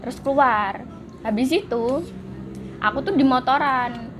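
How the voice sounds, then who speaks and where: A woman chatting casually, relaxed and matter-of-fact as she tells a story. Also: high-pitched, unhurried, music in the background. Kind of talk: casual chat. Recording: noisy background.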